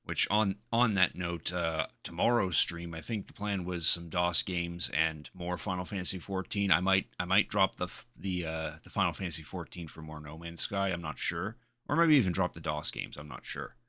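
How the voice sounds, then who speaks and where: A man chatting casually as a live streamer, speaking in a hesitant, halting way and often repeating his words. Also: deep voice, bright, forceful tone, dry recording.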